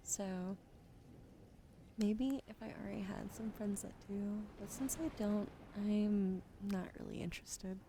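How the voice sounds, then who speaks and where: A sleepy woman streaming ASMR with a sore throat, switching between soft whispers and casual chat about games into a close microphone. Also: mid-range voice, fairly even intonation, unhurried, gravelly. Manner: soft whispering between chatty gaming talk. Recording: noisy stream audio.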